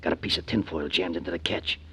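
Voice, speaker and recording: Low-voiced, hard, bossy gangster convict. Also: male voice, fairly even intonation, fast talker, fairly bright tone, slightly rough, heavily acted. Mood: conspiratorial. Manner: terse gangster slang, bossy. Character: hard, suspicious convict leader plotting a prison break. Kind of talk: 1940s radio crime drama acting. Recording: noisy 1940s radio recording.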